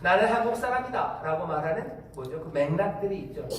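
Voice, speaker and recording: A man with a Korean Incheon accent preaches in a church, talking to his congregation in a casual, chatty way. His voice sits a bit high. It comes from a distance in a reverberant sanctuary, with faint background noise. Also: lively intonation, a little fast. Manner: conversational preaching with fillers and asides. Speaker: pastor. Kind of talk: sermon. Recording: echoey hall, distant mic, faint noise.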